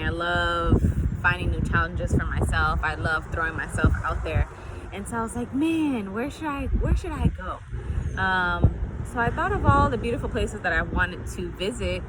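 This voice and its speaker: Young woman vlogger, mid-range voice, upbeat and chatty, filmed outdoors with loud traffic behind. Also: medium pitch. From Connecticut. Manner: chatty, upbeat, many ums. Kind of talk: casual vlog talk to camera. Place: outdoors on an apartment building roof. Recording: outdoor street noise, loud. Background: loud urban noise, traffic and animals.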